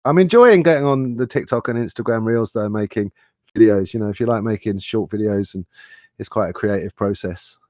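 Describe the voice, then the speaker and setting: A man's voice: lowish voice, brisk, darkish tone, no room sound. A man speaking as a musician guest in a casual, unscripted interview.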